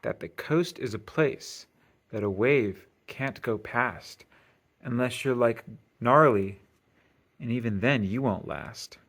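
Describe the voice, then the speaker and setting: A man's voice: mid-range voice, takes it slowly, fairly bright tone. Man reading a children's storybook aloud. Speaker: storybook reader. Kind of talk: expressive children's read-aloud narration.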